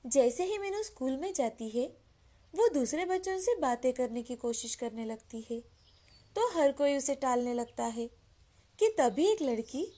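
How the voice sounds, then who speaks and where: A woman's voice: high voice, expressive, measured pace, mellow. A woman narrator telling a scripted moral story for children. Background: background music.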